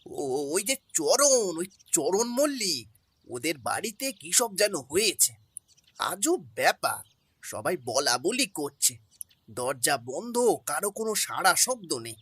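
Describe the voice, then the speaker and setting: A man's voice: a little high, big pitch swings, takes it slowly, breathy edge, heavily acted. A man voicing a flustered male household servant in an audio drama, who stammers and speaks urgently and deferentially. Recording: dry close mic over soft music.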